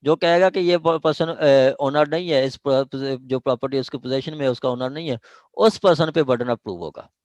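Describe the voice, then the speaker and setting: A man's voice: mid-range voice, normal speed, darkish tone, no echo, lo-fi sound. A man with a Pakistani accent giving an informal law lecture, explaining step by step and repeating his phrases.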